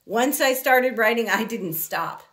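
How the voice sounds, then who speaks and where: Slow-paced woman reading a picture book aloud. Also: a little high, fairly bright tone. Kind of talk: expressive children's story read-aloud.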